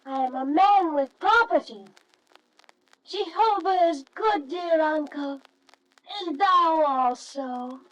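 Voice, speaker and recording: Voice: high voice, slow, a bit bright, low-quality recording. A performer playing an earnest, innocent little shepherd boy with solemn, childlike, old-fashioned phrasing in a radio Christmas story. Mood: proud.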